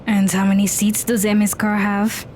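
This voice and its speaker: A woman's voice: mid-range voice, flat delivery, normal speed, darkish tone, slight background noise, close to an everyday voice. Woman playing an aloof, curt young woman. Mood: skeptical. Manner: dry, dismissive. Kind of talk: audio drama acting.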